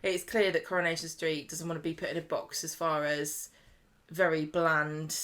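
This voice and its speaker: A woman's voice: low-pitched, little pitch movement, moderate pace, fairly bright tone. A woman co-hosting a casual podcast chat, talking in a chatty way with lots of restarts. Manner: chatty, laughs easily, many restarts. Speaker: podcast co-host.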